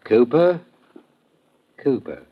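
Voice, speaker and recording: Nervous formal shop owner, husky, voice goes up n down a lot, halting, muffled old radio. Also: male voice, mid-range voice, very animated, heavily acted. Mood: hesitant, flustered. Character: flustered, overly earnest male curio shop owner. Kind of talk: old-time radio drama acting. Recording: muffled classic radio recording.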